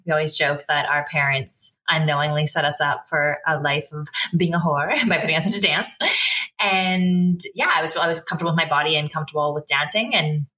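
A woman's voice: deep voice, bright, forceful tone, low-quality recording. A woman from Canada talking casually and candidly as a podcast guest.

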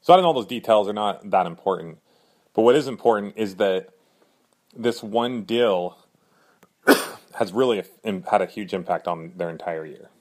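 A man hosting his own podcast in earnest, rambling casual talk, a little hoarse from a cold. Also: lowish voice, moderate pace, pauses often. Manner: earnest, rambling, frequent fillers and restarts. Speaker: podcast host.

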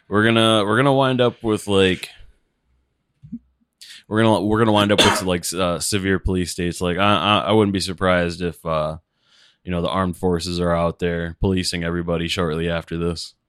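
A deep-voiced man co-hosting a casual podcast. He speaks in a calm, quiet monotone and rarely raises his voice. The recording is close and dry. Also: normal speed, clean tone, dry recording. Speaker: podcast co-host. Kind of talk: casual chat.

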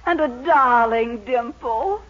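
A fluttery, amorous older American widow in a vintage radio comedy. She speaks slowly in a bright, sharp voice. The old recording is muffled, with an audience behind. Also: fairly high pitch, lively intonation, slight background noise. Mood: coy, amorous. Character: coy, gray-haired widow, fluttery and amorous. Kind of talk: 1940s radio comedy acting.

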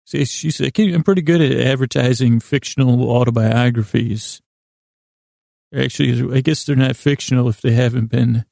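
A man hosting a bedtime podcast in a rambling, tangential monologue, with a creaky, gravelly voice and a halting, self-deprecating manner that often stammers and restarts words. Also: mid-range voice, darkish tone, no room sound, studio quality. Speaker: host of a bedtime sleep podcast.

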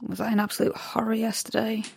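An actress playing a young, rebellious daughter in an audio drama, speaking with sarcasm and raw emotion. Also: female voice, fairly high pitch, monotone, fast, vocal fry, a little hiss or hum. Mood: tentative, wry.

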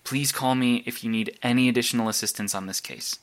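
An earnest actor's take on a male superhero detective from an audio drama. He speaks at a middle pitch in a halting, analytical manner, with nervous conviction. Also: fairly bright tone, natural voice. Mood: urgent, pleading. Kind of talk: naturalistic audio drama monologue and phone calls.

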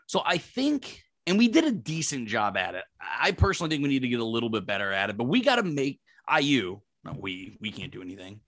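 Animated, rambling man on a podcast. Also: fairly quick, hardly any pauses, no room sound. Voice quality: deep voice. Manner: rambling, animated, lots of fillers. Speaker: podcast co-host. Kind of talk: casual sports chat.

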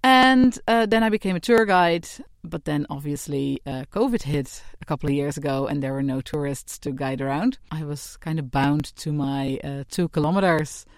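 A woman's voice: sits low, normal speed, hardly any pauses, no echo, studio quality. A woman from the Netherlands with a Dutch accent, a forest bathing guide telling her story as a podcast guest, over crackly mic static.

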